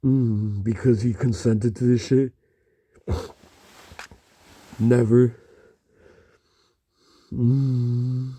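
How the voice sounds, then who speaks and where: A man talking in a halting, rambling monologue, murmuring and pausing with frequent low hums. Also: flat delivery, warm tone.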